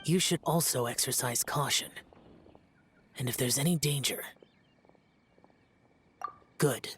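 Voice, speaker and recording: A man's voice: mid-range voice, slow, a bit bright, slight background noise. A man voicing a stern, aloof young immortal demon slayer in a video game, speaking tersely and solemnly. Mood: stern, brooding. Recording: faint music behind.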